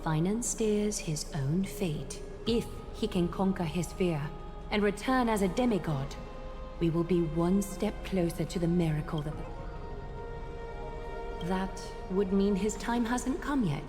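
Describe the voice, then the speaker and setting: A woman voicing a wise, dignified female leader in a video game, speaking in calm, grave, measured phrases. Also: mid-range voice, lightly acted. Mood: grave, composed. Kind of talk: English video game voice acting. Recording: long reverb, clear music behind.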